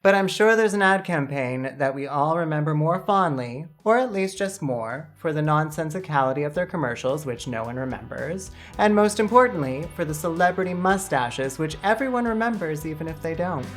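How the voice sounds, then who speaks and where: A woman's voice: low-pitched, expressive, moderate pace, few pauses, soft background music. A Canadian woman hosting a scripted comedic video essay with dry, mock-dramatic delivery. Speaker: video essayist and channel host.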